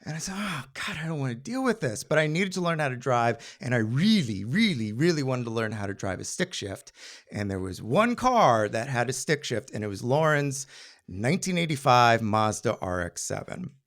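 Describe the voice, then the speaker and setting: An American man of middle age tells a personal story to a live audience. His mid-pitched voice is very animated, rising and dipping widely. He talks in a wry, conversational way and briefly acts out the people he quotes. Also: normal speed. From Maryland, United States.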